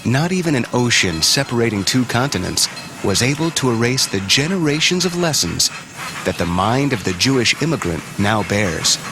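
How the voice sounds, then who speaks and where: A man reading a documentary narration in a measured, solemn way. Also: mid-range voice, lively intonation, background noise. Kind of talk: scripted narration. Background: music.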